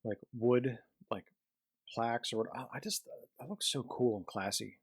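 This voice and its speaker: A man's voice: mid-range voice, moderate pace, slightly rough. A man hosting a reaction show, chatting casually with many fillers and open enthusiasm. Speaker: host reacting to a school video.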